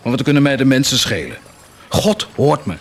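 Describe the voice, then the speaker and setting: An actor playing a cruel, blasphemous warlord in a radio drama, mocking and grandiose, declaiming sardonically with dramatic pauses. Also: male voice, mid-range voice, very animated. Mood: defiant, grandiose. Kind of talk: theatrical radio drama acting.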